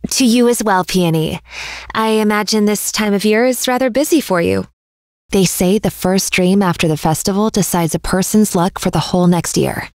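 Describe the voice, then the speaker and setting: Fantasy game nightmare fairy played by a woman: aloof, subdued, gravelly edge, close dry recording. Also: medium pitch, normal speed, darkish tone, dry recording, close to an everyday voice. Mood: reserved. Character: gloomy, reserved young nightmare fairy woman. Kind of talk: English fantasy game voice acting.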